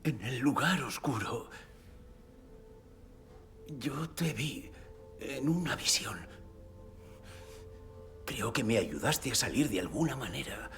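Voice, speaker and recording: Tormented novelist, Castilian Spanish accent, medium pitch, grave and cryptic, dramatic video game dub. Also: male voice, lively intonation, slow pace, long pauses, a bit bright, faint music behind. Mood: grave, troubled. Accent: Spanish (Spain). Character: haunted, desperate male novelist with fractured memory.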